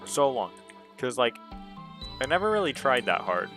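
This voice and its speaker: A man with a somewhat deep voice chatting casually to himself as he streams a game, rambling and musing. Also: sing-song, normal speed, a bit bright, faint music behind. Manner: rambling, self-musing talk. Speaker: gaming livestreamer. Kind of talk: casual solo gaming stream chat.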